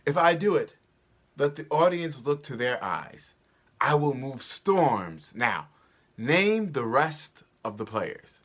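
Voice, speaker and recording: Boastful, bombastic male ham actor acted by a man, stage Shakespeare, highly animated pitch. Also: slow pace, heavily acted. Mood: boastful, eager. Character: boastful, eager amateur actor, a weaver, overacting grandly. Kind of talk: theatrical Shakespeare staged reading.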